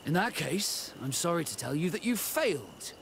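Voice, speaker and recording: Unyielding rebel with animated pitch. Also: male voice, normal speed, gravelly, slight background noise, exaggerated voice. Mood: firm, cool. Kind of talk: scripted video game cutscene dialogue.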